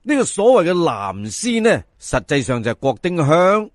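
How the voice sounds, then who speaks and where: A male Cantonese storyteller narrates colloquially to his listeners. His voice sits a little high and moves up and down a great deal, with theatrical emphasis. The recording is close and dry. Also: very animated, normal speed, dry recording. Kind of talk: colloquial folk-tale narration.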